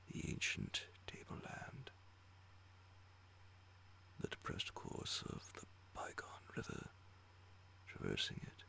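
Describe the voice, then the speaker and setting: Slow, gravelly whispering man reading. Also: rumbling low. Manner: slow, deliberate, hushed phrasing. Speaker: audiobook reader of horror stories. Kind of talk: ASMR whispered bedtime story reading.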